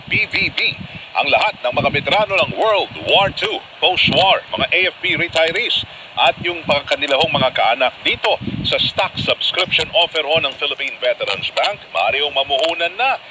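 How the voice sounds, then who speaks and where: Filipino radio man, slightly high-pitched. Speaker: radio announcer. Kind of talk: conversational live-read ad. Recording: noisy AM radio aircheck.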